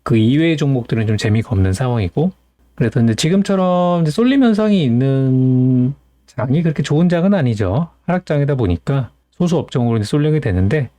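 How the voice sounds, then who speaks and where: A man's voice: mid-range voice, mellow, no echo. A man hosting as a stock market analyst, explaining things in an informal, conversational commentary style.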